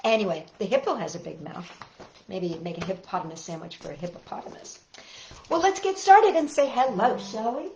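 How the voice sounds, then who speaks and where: A woman's voice: mid-range voice, expressive, brisk, darkish tone, reverberant, a little hiss or hum, poor audio. A woman hosting a children's story time, reading aloud and chatting playfully and expressively straight to young listeners.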